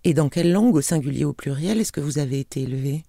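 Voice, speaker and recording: Male host, raised pitch, gravelly and mellow, measured interview delivery. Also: animated. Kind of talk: scripted introduction then interview questions.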